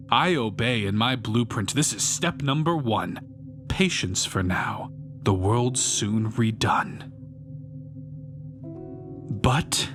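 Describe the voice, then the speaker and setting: A man playing a fanatical, grandiose killer who narrates his crimes in theatrical, measured verse, as in a dramatic poetry audiobook. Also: medium pitch. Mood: intense, unsettled. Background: music behind the voice.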